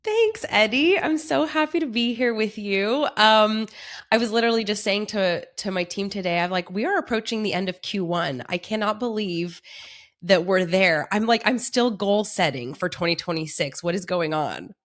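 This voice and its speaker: A woman's voice: medium pitch, expressive, moderate pace. A woman in her thirties from Montreal, a native French speaker, chatting candidly as a guest on a video-call interview. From Montreal, Canada. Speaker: wedding planner, guest. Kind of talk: casual interview chat.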